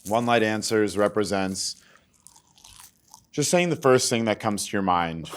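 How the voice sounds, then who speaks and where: A man co-hosting a podcast, teasing and playful as he asks quick questions. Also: lowish voice, expressive, clean tone. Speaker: podcast co-host. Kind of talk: casual podcast chat.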